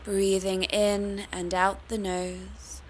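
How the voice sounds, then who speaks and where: A woman teaching yoga meditation, guiding the listener in a calm, soothing, unhurried manner. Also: medium pitch, a little hiss or hum. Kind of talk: guided meditation.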